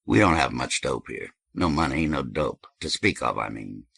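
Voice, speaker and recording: A man plays a cocky, sociable street fellow in an audiobook. He holds forth in colloquial talk with a very low, husky voice, recorded close and dry. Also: expressive, normal speed, fairly bright tone, dry recording, heavily acted. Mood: casual. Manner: colloquial, holding forth, declarative. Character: cocky, hunch-shouldered, sociable man in a cap. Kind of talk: audiobook character dialogue.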